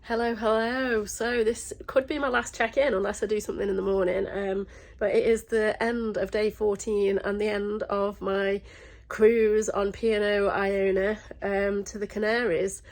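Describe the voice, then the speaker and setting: A woman travel vlogger chatting casually and in a rambling way, sounding croaky and stuffed up with a sore throat. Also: medium pitch, flat delivery, normal speed, darkish tone. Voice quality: slightly croaky, congested. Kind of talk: casual vlog chat.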